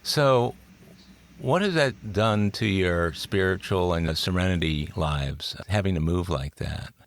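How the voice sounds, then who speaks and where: Deep-voiced older male podcast host. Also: unhurried. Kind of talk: scripted intro then casual interview.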